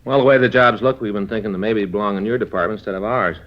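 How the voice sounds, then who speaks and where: A man acting a plainspoken, mild police detective partner in a 1950s radio drama, casual and low-key. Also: quick pace, close to an everyday voice. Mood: explaining. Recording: dull vintage radio recording.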